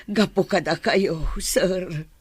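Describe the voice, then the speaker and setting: A woman's voice: on the low side, takes it slowly, breathy edge, no echo. A woman playing an anxious, devout and grateful mother in an Ilocano drama, speaking earnestly and emotionally. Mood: grateful, sorrowful. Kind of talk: Ilocano audio drama acting.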